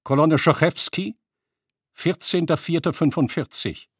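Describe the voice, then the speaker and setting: Male narrator for documentary radio play, mid-pitched, husky, clipped and factual, close dry studio sound. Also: a little slow, no room sound. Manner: factual, clipped announcing of headings.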